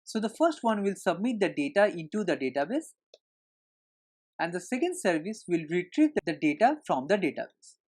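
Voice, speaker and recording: A woman's voice: lowish voice, sing-song, moderate pace, a little mellow. A woman narrating a screencast tutorial as an instructor, explaining things step by step in an instructional way.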